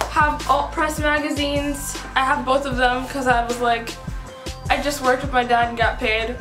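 A woman's voice: sits high, echoey room. A fifteen-year-old girl from the East Coast chatting casually to camera in her bedroom, rambling upbeat with lots of "like" and "whatever". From United States, East Coast. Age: teenager, 15. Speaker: vlogger on a shared channel. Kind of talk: casual get-to-know-me chat to camera. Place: small bedroom indoors. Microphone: camera mic at close range.

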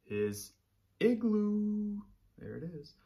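Animated man reading a storybook to children. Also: high voice, extremely slow. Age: 30s. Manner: animated, encouraging, addresses young children directly. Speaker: teacher reading aloud to young children. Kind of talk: children's storybook read-aloud.

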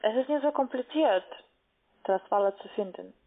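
A woman speaking as a non-native, working as a call centre agent, giving step-by-step instructions in halting phrases over the telephone. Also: a little high. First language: not German. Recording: muffled narrowband phone line.

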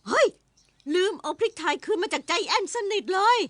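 A woman's voice: very high-pitched, lively intonation, moderate pace, a bit bright. A woman voicing a timid, whiny, easily flustered schoolboy in a Thai-dubbed cartoon. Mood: weary. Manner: whining, exclamatory.

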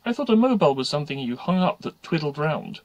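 A man's voice: fairly high pitch, animated, quick pace, no room sound, voice pushed up, close to an everyday voice. A man voicing a brash, streetwise schoolgirl who talks back in a blunt, scornful, colloquial way.

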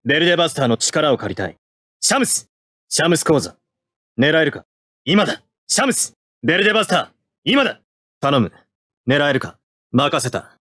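Cold young anime mecha pilot for a game, clipped military lines, pitch moves a lot, very dry sound. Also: male voice, big pitch swings, normal speed, pauses often, fairly bright tone, no room sound. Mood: urgent command. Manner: terse, clipped military delivery. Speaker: video game voice actor.

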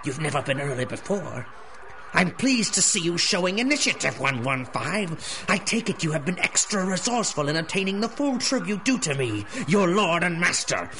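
Pompous, swooping sewer tyrant. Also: male voice, fairly high pitch, very animated, moderate pace, noisy background. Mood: suspicious. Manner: pompous, menacing, mock-courteous. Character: theatrical villain Rat Lord ruling child thieves from a sewer. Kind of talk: 1930s-style radio drama acting.

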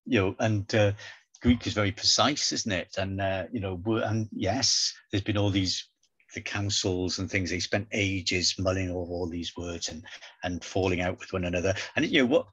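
Deep, husky older man from South Wales. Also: fairly even intonation, moderate pace, fairly bright tone. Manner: chatty, lots of "you know". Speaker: debater. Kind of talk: debate presentation. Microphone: video call webcam.

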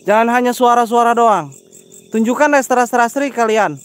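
A man's voice: extremely high pitch, a little hiss or hum. Stuffy-nosed Sundanese young man outdoors. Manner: shifts between quick chat and hushed, drawn-out calls to spirits. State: stuffy nose from a cold. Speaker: paranormal explorer vlogger. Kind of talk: night ghost-hunting vlog, casual chat and invocations. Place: outdoors at night among banana plants. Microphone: phone camera held close.

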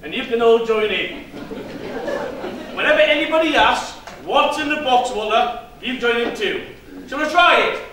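Man playing pantomime joker, cheeky, high voice, exaggerated stage delivery, distant noisy recording. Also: animated, moderate pace, bright, forceful tone, exaggerated voice. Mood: teasing. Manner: exaggerated, playful comic delivery to the crowd. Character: cheeky male village joker in pantomime, cracking jokes. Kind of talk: pantomime stage comedy, addressing the audience. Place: theatre stage, distant microphone. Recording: echoey theatre stage from far off, noisy.

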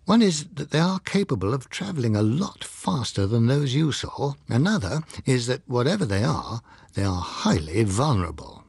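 Man voicing a husky, candid military pilot. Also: mid-range voice, very animated, normal speed, a little mellow, no room sound, heavily acted. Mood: candid, animated. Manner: colloquial, matter-of-fact, guarded. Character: candid military pilot recounting a strange encounter. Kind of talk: audiobook character dialogue.

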